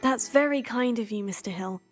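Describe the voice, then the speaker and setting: A woman's voice: on the high side, moderate pace, faint music behind, natural voice. A woman playing a polite, modest, composed student hero in a video game.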